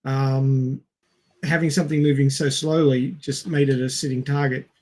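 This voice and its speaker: A man's voice: mid-range voice, flat delivery, normal speed, darkish tone. A man talking casually on a discussion podcast, explaining things in a dry, understated way. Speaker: podcast co-host or guest.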